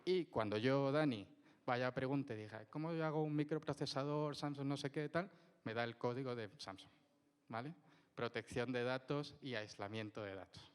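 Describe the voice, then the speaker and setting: A man walks a conference audience through technical points. His voice is smooth and clear, and he keeps checking understanding with a quick "¿vale?". A long hall reverb hangs behind him. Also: medium pitch. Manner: lively, explanatory, frequent "¿vale?" checks. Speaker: technical speaker. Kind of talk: conference presentation with audience questions.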